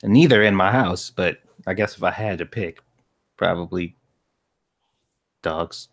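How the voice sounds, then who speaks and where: A man's voice: deep voice, measured pace. A man chatting casually on a group stream, making blunt, crude remarks. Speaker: co-host on the stream.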